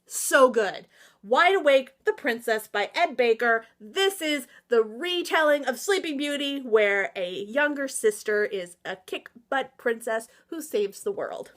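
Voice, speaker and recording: A woman chatting casually to viewers as a book reviewer, excitable, emphatic and slangy, with theatrical asides and exclamations. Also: high-pitched. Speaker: book reviewer and vlog host. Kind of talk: casual, opinionated book recommendation chat to viewers.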